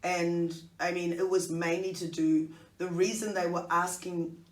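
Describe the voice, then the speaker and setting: Host with a New Zealand accent, thinking aloud, mid pitch, roomy studio with a distant mic. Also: little pitch movement, unhurried. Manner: reflective, thinks aloud with fillers and restarts. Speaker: podcast and radio host. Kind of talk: conversational interview. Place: radio studio.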